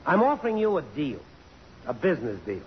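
A cocky, wisecracking newsman, pitched high for a man and stubborn in manner. The delivery is 1950s radio theater, on a dulled recording with faint hiss. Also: wildly swooping pitch, fairly quick. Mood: smug, wry. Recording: muffled, hissy old radio broadcast.

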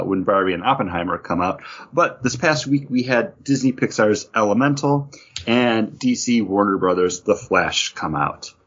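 Guy hosting a podcast, lowish voice that goes up and down a lot, bit rough, muffled audio. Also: a bit bright. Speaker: podcast host. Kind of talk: casual panel chat about beer and geek news.

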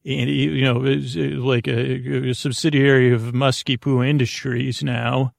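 Creaky-voiced man, meandering bedtime podcast host. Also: mid-range voice, level tone, no room sound, studio quality. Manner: meandering, halting, whimsical, full of digressions. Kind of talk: rambling, improvised bedtime storytelling monologue.

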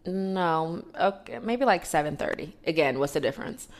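A woman from Philadelphia co-hosting a casual podcast with a notably low voice. She talks in a laid-back, easygoing way, poking fun at herself between stories. The talk stays loose and conversational. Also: expressive, moderate pace. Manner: laid-back, self-deprecating, playful. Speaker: podcast co-host. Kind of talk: casual chat.

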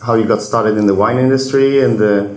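A man with a Swedish accent hosting a podcast interview in English, asking relaxed questions with frequent fillers. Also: lowish voice, brisk, echoey room, low-quality recording. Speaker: podcast host. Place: hotel wine cellar.

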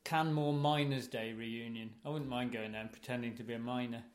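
A man's voice: medium pitch, steady tone, brisk, roomy sound. A man from Sweden co-hosting a podcast, teasing his partner with dry, wry remarks. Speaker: podcast co-host. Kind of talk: casual banter.

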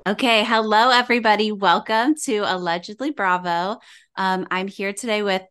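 A woman's voice: mid-range voice, fairly even intonation, normal speed, a bit bright, clean tone. A woman hosting a podcast, chatting casually and bubbly, laughing often and talking off the cuff. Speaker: podcast host.

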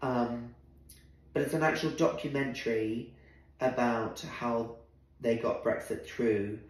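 A man from Zimbabwe, a drag performer guesting on a podcast, telling a story in animated, indignant bursts with quoted dialogue. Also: medium pitch, slow pace. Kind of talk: casual conversational storytelling. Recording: very echoey room.

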